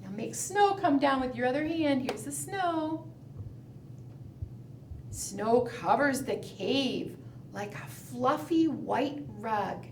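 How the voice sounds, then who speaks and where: A woman reads aloud to preschoolers as a storytime leader. Her voice is high and her pace is very unhurried, with a sing-song lilt and questions for the young listeners. The sound is echoey, as if picked up in a room. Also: lively intonation. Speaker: children's librarian leading preschool storytime. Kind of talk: read-aloud storytelling and fingerplay for young children. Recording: roomy, distant mic, faint background noise.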